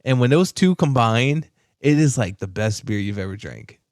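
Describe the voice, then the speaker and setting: Male podcast co-host, mid pitch, animated delivery, dry close recording. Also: moderate pace, dry recording. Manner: enthusiastic, profane, lots of fillers. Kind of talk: casual chat.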